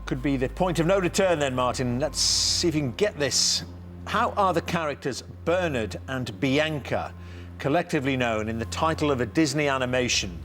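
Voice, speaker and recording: A man's voice: mid-range voice, lively intonation, normal speed, a bit bright, faint music behind. A man hosting a television quiz show, upbeat and teasing, reading questions and introducing teams.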